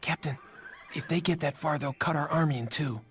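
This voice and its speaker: An actor playing a dutiful soldier in a video game cutscene, reporting in a brisk military manner. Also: male voice, a little high, fairly bright tone, soft background music, lightly acted. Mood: urgent.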